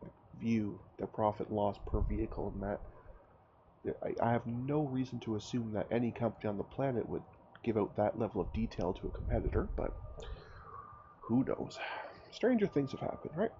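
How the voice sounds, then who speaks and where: A man with a cold, his throat sore and his voice raspy, casually commenting on his own game as he builds and thinks aloud. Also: fairly low pitch, animated, normal speed, lo-fi sound, noisy background. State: hoarse from a cold. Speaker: gameplay commentator. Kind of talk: casual let's-play commentary.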